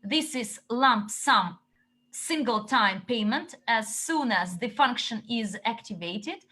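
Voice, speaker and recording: A woman interpreting a company update into English, relaying questions and answers in short, halting chunks. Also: fairly high pitch, animated, pushed and bright.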